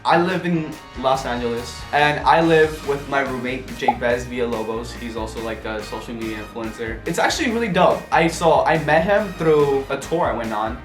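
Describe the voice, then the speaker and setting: A man's voice: medium pitch, echoey room, over music. A young man in his late teens chatting casually and playfully, answering questions about himself to camera. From United States. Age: young adult. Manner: bubbly and playful, quick laughs and jokey asides. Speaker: social media influencer.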